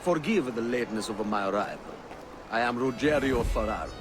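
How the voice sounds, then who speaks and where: A courteous supposed diplomat played by a man speaks formally. His voice is medium in pitch, game-drama style, with music clearly behind. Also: brisk, close to an everyday voice. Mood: polite. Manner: formal, polite. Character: courteous male impostor posing as an Italian diplomat. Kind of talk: dramatic video game acting.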